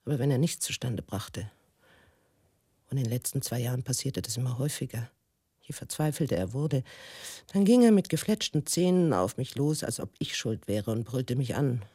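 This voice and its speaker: A woman playing an embittered, wounded, educated wife in a radio drama monologue, husky and brooding, in deliberate, halting phrases. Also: a bass voice, animated, dark tone, no echo, studio quality, exaggerated voice. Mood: weary, wounded. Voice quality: husky, gravelly.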